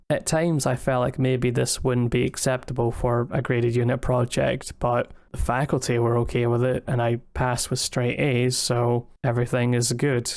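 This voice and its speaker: A young man narrates in a mid-range voice with very little rise and fall. His delivery is measured and candid, like a scripted look back on his own experience. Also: medium pitch, darkish tone. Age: young adult. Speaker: student filmmaker narrating own video. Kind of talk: scripted retrospective voice-over narration.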